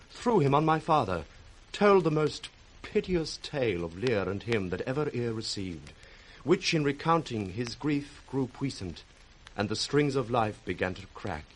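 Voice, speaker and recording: A man's voice: mid-range voice, a little slow, low-quality recording. An actor playing an earnest young British nobleman in radio Shakespeare, declaiming with feeling. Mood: sorrowful. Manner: declamatory, animated.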